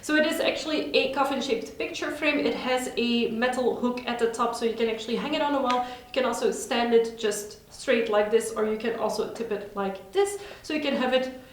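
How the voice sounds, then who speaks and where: A woman's voice: on the high side, a little fast, a little hiss or hum. A woman who speaks Dutch as her first language, chatting upbeat and enthusiastic in a casual vlog at home. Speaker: vlogger. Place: home room. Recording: very echoey room.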